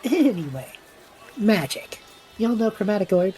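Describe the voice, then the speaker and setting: A woman plays a flippant young male adventurer in an audio drama. The voice is mellow and swings widely in pitch, breezy and sarcastic, over faint music. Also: very animated, unhurried, poor audio. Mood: casual, flippant. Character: cocky, flippant young male student adventurer, a magic user.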